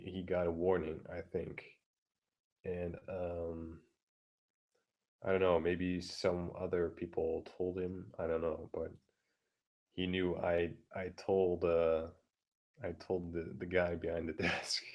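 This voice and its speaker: Deep-voiced man ranting quietly. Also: monotone, takes it slowly, long pauses, muffled recording. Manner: keeps his voice down, talking quietly; crude, blunt, heavy swearing. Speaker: vlogger. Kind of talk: casual profane rant. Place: home room with neighbors through the walls.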